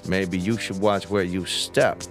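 An American gangster boss in a radio drama. He talks low, smug and guarded, in clipped tough-guy phrases. Soft music runs underneath. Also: steady tone, measured pace.

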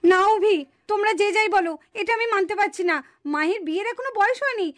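Casting: scolding village female for puppet cartoon drama; very high pitch, quick delivery. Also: fairly bright tone. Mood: indignant protest. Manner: emphatic, scolding, repeats words in protest. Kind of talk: dramatized Bengali puppet-show dialogue.

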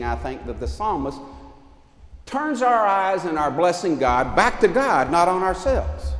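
Animated older preacher in an echoey church. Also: male voice, a little high. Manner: animated preaching, arms raised, pacing the chancel. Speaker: pastor. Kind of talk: sermon. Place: large church sanctuary.

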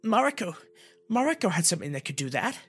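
A man's voice: high-pitched, sing-song, fast talker, a bit bright, breathy edge, voice pushed up, heavily acted. A man voicing a small, caring, anxious female fairy with a pleading delivery in a fantasy story, music underneath.

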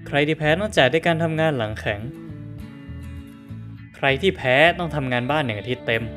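A smug, know-it-all cartoon teenager, voiced by a dubber, who explains rules in quick bursts and sneers at amateurs. He talks fast at a middle pitch, with faint music behind him. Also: animated, fairly bright tone, lightly acted. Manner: lectures rapidly, smug and mocking. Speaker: son at the poker table. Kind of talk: Thai fan dub of a cartoon.

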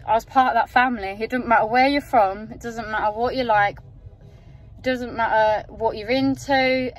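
A young woman from outside Yorkshire, talking emotionally and gushing to her phone camera in a car at night, close to tears. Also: a little high. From England, outside Yorkshire. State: teary. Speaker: football fan. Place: inside a parked car at night. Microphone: phone camera held at arm's length.

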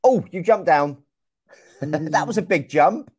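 A young man from England, teaching a young child over a video call in slow, clear, encouraging speech full of exclamations. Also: on the high side. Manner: slow, clear and lively, often exclaims and laughs. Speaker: online English teacher. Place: small room indoors. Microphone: video call with wired earphone mic.

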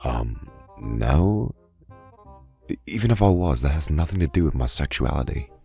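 A man voicing a laconic, patient young man with a deadpan manner in a comic dub. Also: rumbling low, moderate pace, creaky, breathy edge, faint music behind, heavily acted. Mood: awkward, unimpressed. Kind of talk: amateur comic dub acting.

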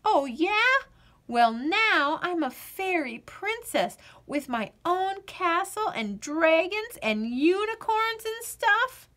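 A woman voicing an energetic, indignant and imaginative little girl from a storybook, with emphatic, childlike delivery. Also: extremely high pitch, slow pace, pitched above the performer's own voice, heavily acted. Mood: excited, boastful.

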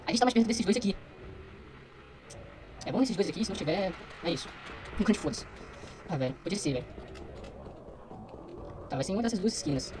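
Young adult Brazilian male gamer, quick casual muttered commentary, slangy, noisy background. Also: sits high, lively intonation. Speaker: gaming streamer. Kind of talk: casual let's play commentary.

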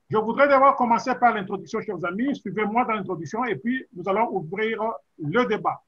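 A middle-aged man hosting a formal political debate over a video call, speaking in a measured way with frequent hesitations. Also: sits high, fairly bright tone, dull audio. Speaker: talk show host.

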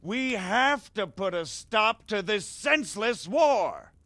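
A slick scheming noble, lively up and down voice, smooth talker. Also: male voice, sits high, slow pace, no room sound, full character voice. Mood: indignant. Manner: smooth, persuasive, flares up when pressed. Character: shrewd scheming middle-aged male margrave. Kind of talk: video game cutscene dialogue.

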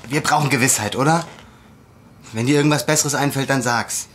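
A man's voice: mid-range voice, brisk, fairly bright tone, a little hiss or hum, natural voice. An actor playing a troubled, determined young man with a brooding, insistent way of talking, in an audio drama. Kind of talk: naturalistic audio drama acting.

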